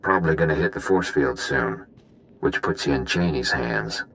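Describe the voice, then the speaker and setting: An extremely low-voiced criminal technician in a video game. He is calm and practical, speaking in clipped, laid-back phrases over faint noise. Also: moderate pace, creaky, exaggerated voice. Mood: matter-of-fact. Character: calm practical male prison-break technician, matter-of-fact.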